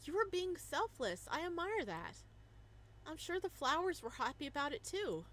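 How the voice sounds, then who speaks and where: A woman reading the lines of an eager, blunt dog-eared young man in a visual novel, with excited, lively delivery. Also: sits high, normal speed, pitched above the performer's own voice. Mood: earnest.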